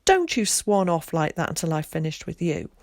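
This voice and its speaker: A woman reading an audiobook, voicing a frantic middle-aged mother, scolding in a high, breathless rush. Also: big pitch swings, normal speed, dry recording, studio quality.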